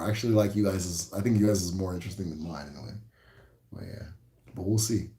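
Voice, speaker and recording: A man with an Igbo accent talks through a livestream lesson on spiritual ideas in a low, mellow voice. His delivery is relaxed and reflective, and he repeats key phrases and keeps checking in with "right?". The sound is roomy and echoey. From Igbo, Nigeria. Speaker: spirituality teacher hosting a livestream. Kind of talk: casual livestream teaching.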